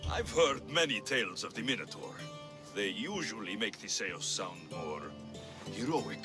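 Sardonic mercenary, dry skeptical wit, lively pitch, game music behind. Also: male voice, a little slow. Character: dry, sardonic adult male mercenary hero. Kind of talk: video game voice acting.

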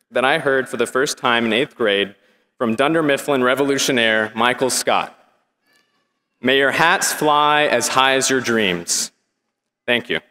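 A young man, giving his student graduation speech in an earnest, measured way with wry humor. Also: medium pitch, a bit bright. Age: young adult. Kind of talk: prepared commencement speech. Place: large indoor arena. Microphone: podium microphone. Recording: long hall reverb.

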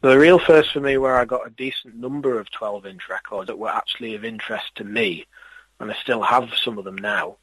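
A man talks in an easygoing, rambling way, often stopping to restart his sentences. His voice is a little husky and breathy. The sound is close and dry. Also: medium pitch, a little fast, fairly bright tone, no echo, rough recording. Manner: rambling, hesitant, many restarts and fillers. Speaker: interviewer and record collector. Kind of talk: casual conversational interview.

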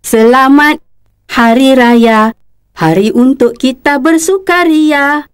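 A woman's voice: high-pitched, slow pace. A woman voicing a cheerful, eager old grandmother in a cartoon. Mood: cheerful singing. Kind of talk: cartoon voice acting. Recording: echoey, distant, loud music behind.

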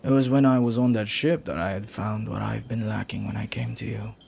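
Flirty pirate played by a man, gravelly and intimate. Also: lowish voice, fairly even intonation, a little fast, a little mellow, a little hiss or hum. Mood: wistful, tender. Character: flirty, silver-tongued male pirate, tender and devoted. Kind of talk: romantic audio roleplay acting, intimate second-person monologue.

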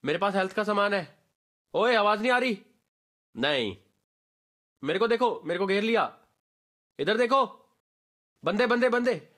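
A man's voice: high voice, a little slow, pauses often. A man voicing a brash, streetwise gamer barking slangy squad callouts for a game voice pack. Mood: excited, urgent. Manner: animated, slangy, bossy.

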